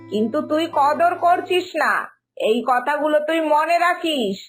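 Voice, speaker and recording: A woman playing a scolding, knowing mother in an animated moral story, speaking in a reproachful, admonishing way. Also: high voice, exaggerated voice.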